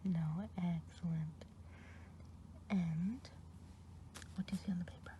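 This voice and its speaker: A woman's voice: lowish voice, steady tone, takes it slowly, a little hiss or hum. A woman whispering as a kindly good witch. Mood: gentle. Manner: soft whispering, patient and encouraging. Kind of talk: ASMR roleplay.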